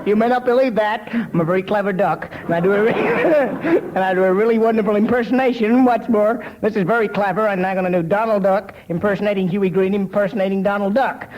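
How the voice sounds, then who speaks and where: Man doing a high spluttering boastful cartoon duck. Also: a little fast, hardly any pauses, dull audio, close to an everyday voice. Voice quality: quacking, slurred, spluttering. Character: boastful cartoon duck posing as a smarmy TV host. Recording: noisy broadcast, audience behind.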